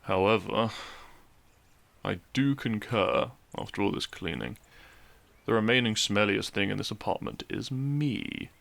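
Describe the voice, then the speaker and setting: A man's voice: lowish voice, animated, a little slow, creaky, a bit bright, full character voice. A man playing a depressed, dry-witted young man in an intimate audio roleplay, speaking with hesitant, awkward teasing to his best friend. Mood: dry, exasperated.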